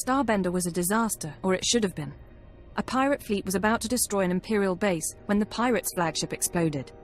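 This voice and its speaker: A woman playing a brisk, analytical intelligence officer in a video game, reporting in a precise, matter-of-fact way. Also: mid-range voice, soft background music, close to an everyday voice. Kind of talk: video game cutscene acting.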